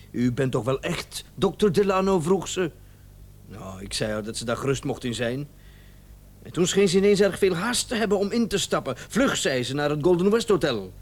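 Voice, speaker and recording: A man's voice: fairly high pitch, big pitch swings, moderate pace. An actor playing a flustered, indignant and evasive male doctor in a radio drama, stammering and repeating himself when agitated. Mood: earnest, recounting.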